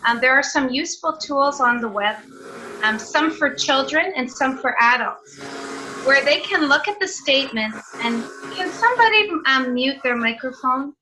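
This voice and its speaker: Canadian woman, teacher trainer on a video call; bright, fairly high, friendly explainer. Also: expressive, normal speed, a little hiss or hum, rough recording. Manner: friendly, explanatory, with filler sounds. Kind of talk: online seminar presentation.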